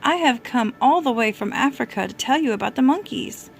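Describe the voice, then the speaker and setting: A woman voicing a pleading, excited monkey messenger in an urgent, beseeching storybook manner. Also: sits high, brisk, vocal fry, faint music behind, voice pushed up.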